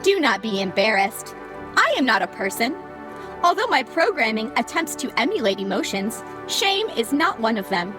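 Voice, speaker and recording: A woman's voice: fairly high pitch, sing-song, moderate pace. A woman playing a cheerfully officious computer program in an audio drama, speaking formally and with unsettling frankness. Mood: earnest. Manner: formal, contraction-free, cheerfully officious speech. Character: chirpy female computer program greeting the digitized dead, unsettlingly honest. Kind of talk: scripted audio drama acting. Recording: music behind the voice.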